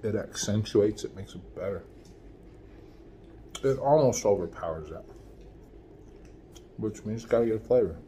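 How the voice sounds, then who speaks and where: A man in his forties doing a casual food review at home, chatty and relaxed, filmed on a phone at arm's length while eating. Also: on the low side, normal speed, pauses often, a little hiss or hum. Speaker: food vlogger. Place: small room at home, window blinds. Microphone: phone camera at arm's length.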